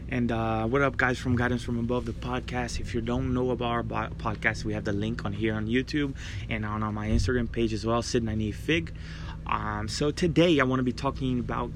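A young man talks through a personal faith testimony as the host of his own podcast. His voice carries a gravelly fry at mid pitch. He sounds earnest and reflective, and grateful. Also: fairly even intonation, fairly quick, noisy background. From Brazil.

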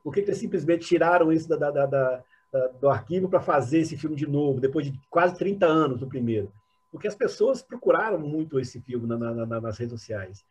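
A Brazilian man giving spontaneous academic commentary as a panelist, halting and repeating words as he searches for phrasing, over dull online call audio. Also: fairly high pitch, lively intonation, a little mellow, husky.

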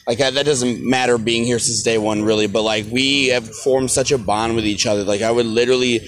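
Man, twenties, earnest, halting, mid pitch. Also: steady tone, rough recording. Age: 20s. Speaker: protest group member. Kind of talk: casual group chat.

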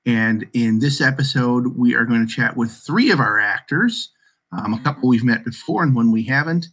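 A man hosting an interview podcast, speaking in a measured, encouraging way to his guests. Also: mid-range voice, fairly bright tone. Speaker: podcast host. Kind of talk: podcast interview with school play actors.

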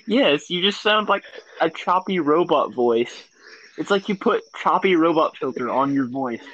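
A man's voice: fairly high pitch, lively intonation, measured pace, a bit bright. Young man on a dull lo-fi call. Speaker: podcast co-host. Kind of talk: casual teasing banter with friends. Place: remote voice call. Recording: dull lo-fi online call audio.

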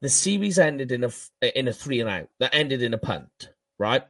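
A Welsh man with a Welsh accent co-hosting a fan podcast, talking football in a casual, animated way and laughing at himself. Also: mid-range voice, moderate pace, no echo. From Wales. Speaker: podcast co-host. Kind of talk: casual sports chat.

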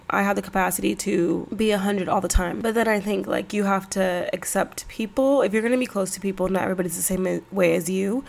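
A woman's voice: mid-range voice, creaky. A woman in her thirties, stuffy from a cold, chatting casually and rambling on her own podcast. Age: 30s. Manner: chatty, rambling, slangy, laughs between thoughts. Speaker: podcast host. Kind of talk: casual solo podcast chat.

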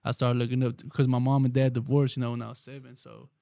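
رجل هادئ بصوت داكن ونبرة رتيبة. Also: male voice, mid-range voice, little pitch movement, quick pace, gravelly, dark tone, dry recording, clean studio sound. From Texas. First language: Spanish. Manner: laid-back, drawling, lots of slang and swearing. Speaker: guest rapper. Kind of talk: casual podcast chat.

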